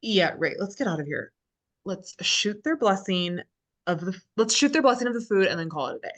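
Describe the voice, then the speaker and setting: Woman playing a snarky lady tv host, wry n fed up, mid pitch, comedy script read. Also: expressive, brisk, close to an everyday voice. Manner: wry, exasperated.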